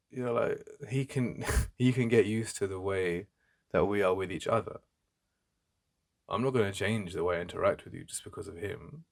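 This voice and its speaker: A man with an English accent playing a jealous, protective best friend in an intimate ASMR roleplay, speaking in a halting, conversational way with emphatic repetition. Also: vocal fry, full character voice. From England. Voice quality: deep.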